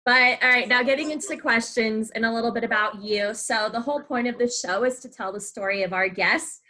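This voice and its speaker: A woman's voice: fairly high pitch, fairly quick, bright, forceful tone, low-quality recording. A young woman hosting a podcast in a casual interview style. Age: young adult. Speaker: podcast host.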